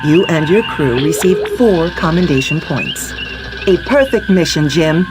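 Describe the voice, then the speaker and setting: A woman's voice: low-pitched, animated, a little slow. A woman playing an approving superior officer of a space fleet in a video game, speaking formally. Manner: formal, congratulatory. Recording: loud music under the voice.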